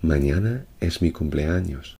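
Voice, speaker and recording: A man reading short phrases in a plain, measured way for a language lesson. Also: a bass voice, animated, gravelly, mellow, husky.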